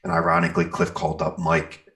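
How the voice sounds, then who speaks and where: A man's voice: sits low, completely flat delivery, fast, fairly bright tone. A middle-aged American man chatting casually in an interview as a musician, rambling a little and searching for words.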